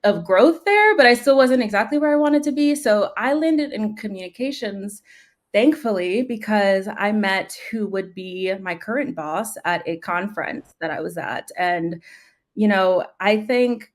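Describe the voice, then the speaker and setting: A woman's voice: mid-range voice, expressive, unhurried. A young woman from New York, a panel guest, telling her career story in a chatty, conversational way with many "um"s and "like"s. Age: young adult. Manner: warm, chatty storytelling with frequent "like" and "um".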